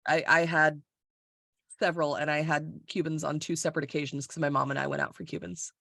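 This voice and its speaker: A woman's voice: deep voice, flat delivery, fairly quick, gravelly, a bit bright, no room sound, studio quality. A woman from Florida co-hosting a podcast, chatting casually and bluntly over a video call, swearing freely and laughing often. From Florida, USA. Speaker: podcast co-host. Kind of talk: casual chat. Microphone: recorded over a video call.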